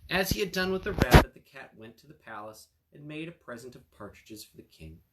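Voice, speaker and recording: A man's voice: mid-range voice, big pitch swings, a little fast, slight background noise, low-quality recording. A man reading a fairy tale aloud as a storyteller, with casual asides to his listeners. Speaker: storyteller, attorney.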